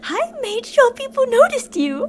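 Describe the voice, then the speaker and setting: Woman acting a very high-pitched obsessive yandere girl. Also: very animated, a little slow, full character voice. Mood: aggrieved, intense. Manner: intimate, swinging between insistent pleading and soft whispery menace. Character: obsessive yandere female fan, possessive, unhinged kidnapper. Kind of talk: ASMR roleplay, dramatic monologue addressed to the listener.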